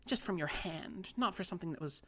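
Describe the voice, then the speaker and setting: An audiobook reader voicing a spiteful, wheedling tiny old woman in a high, breathy half-whisper. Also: lively intonation, brisk, bright, forceful tone. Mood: aggrieved. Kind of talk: audiobook character voice.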